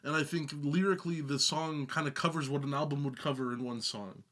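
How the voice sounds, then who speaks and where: A man's voice: on the high side, fairly even intonation, brisk, fairly bright tone. A man co-hosting a music reaction show, talking casually and hesitantly with many fillers and restarts.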